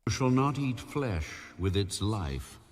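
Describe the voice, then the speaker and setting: A man narrating scripture in a solemn, measured, grave manner with deliberate pauses. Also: on the low side, sing-song, darkish tone. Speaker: audio Bible narrator.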